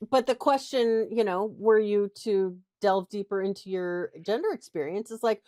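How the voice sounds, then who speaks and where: A woman's voice: mid-range voice, moderate pace, dark tone, no room sound. Woman explaining, dry close sound. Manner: explanatory, frequent "right?" tag questions. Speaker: podcast co-host. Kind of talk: casual podcast discussion.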